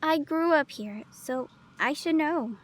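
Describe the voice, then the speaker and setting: A woman's voice: high voice, slow pace. A woman playing a young cave lioness, a protective and cautious wilderness guide in an audio drama. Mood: stern, cautioning.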